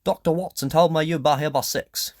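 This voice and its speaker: A man's voice: fairly high pitch, steady tone, brisk, a little mellow, no room sound, studio quality, voice pushed up, close to an everyday voice. A man acting a proud, earnest king in an audio drama, speaking formally and emphatically with indignation and pleading. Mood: indignant.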